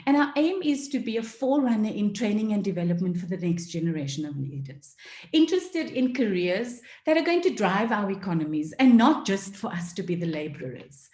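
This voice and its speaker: Earnest woman forum speaker, mellow tone, fillers, echoey room. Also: medium pitch. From South Africa. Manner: earnest, many fillers, emotional at times. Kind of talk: passionate talk. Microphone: video call.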